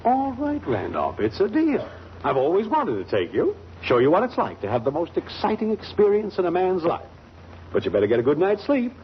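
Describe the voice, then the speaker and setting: A man's voice: high-pitched, wildly swooping pitch, brisk. An American man acting a sheepish, flustered middle-aged father in a 1940s radio comedy, hesitant and blustery. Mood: earnest. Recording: noisy, muffled 1940s radio recording.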